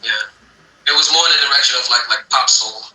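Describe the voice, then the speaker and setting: A man from the United States whose first language was Arabic, a singer guesting on a casual interview podcast, speaking haltingly with many restarts. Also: medium pitch, pushed and bright, poor audio.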